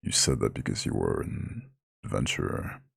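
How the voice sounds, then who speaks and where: Ancient eldritch god for asmr roleplay, super deep husky growl, slow n soothing but proud, close dry mic. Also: male voice, sing-song, no room sound, heavily acted. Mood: apologetic, gentle. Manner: slow, soothing, formal speech with proud touches. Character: ancient male eldritch god, horned, imposing, gently caring.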